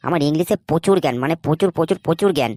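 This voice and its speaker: An earnest, dim-witted job candidate from a comedy cartoon, played by a man. He speaks rapidly with wheedling overconfidence. Clear noise sits on the recording. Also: a little high, natural voice. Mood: cocky, confident.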